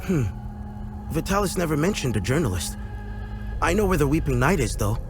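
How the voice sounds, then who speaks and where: A measured, earnest craftsman. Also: a little high, big pitch swings, music in the background. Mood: informative. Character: guarded, earnest male weapons craftsman, a loyal former apprentice. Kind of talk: video game dialogue acting.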